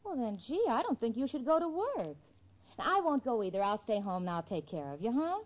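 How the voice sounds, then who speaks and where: A level-headed young city roommate with a wry, breezy wit in a 1940s radio sitcom. Her pitch is high and swings widely. The recording is dull and old. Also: big pitch swings, fairly quick. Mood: concerned, coaxing.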